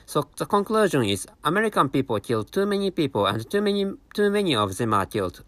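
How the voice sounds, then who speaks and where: Non-native male host, Japanese accent, weary and halting, animated pitch, casual solo talk. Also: no room sound. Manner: halting, many restarts and repeated words. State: tired. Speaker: podcast host.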